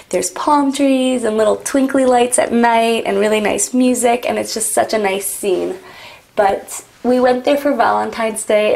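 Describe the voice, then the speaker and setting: Young woman vlogger, raspy and gravelly, loose rambling chat. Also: medium pitch. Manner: rambling, frequent "like" and "um". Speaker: beauty vlogger. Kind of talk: chatty get-ready-with-me talk. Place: home, doing makeup.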